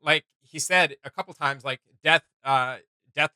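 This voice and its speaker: A man talking loosely through a reaction video he co-hosts, thinking aloud with frequent fillers and easy laughter. His voice is very bright and sits a little high for a man. The sound is dry and close. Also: a little slow, no echo. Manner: rambling, filler-heavy, laughs often. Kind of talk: casual reaction chat.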